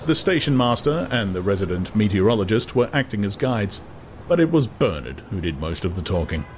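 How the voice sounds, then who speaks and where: A man's voice: fairly low pitch, big pitch swings, a little fast. A man narrating a literary novel as a dramatized audiobook. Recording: clear background noise.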